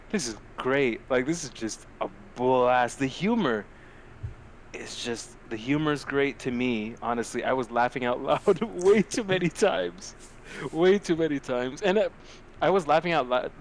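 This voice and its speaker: Animated man co-hosting over a noisy recording. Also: mid-range voice, moderate pace. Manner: animated, slangy, swears freely. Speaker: podcast co-host. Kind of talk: casual movie-review banter.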